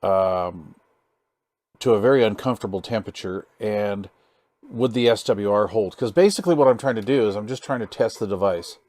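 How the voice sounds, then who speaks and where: A man's voice: fairly low pitch, darkish tone, no room sound. Casual, folksy man in a dry room. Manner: halting, easygoing, muttering to himself, folksy phrasing. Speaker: hobbyist presenter demonstrating radio gear. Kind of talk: casual hands-on video demonstration.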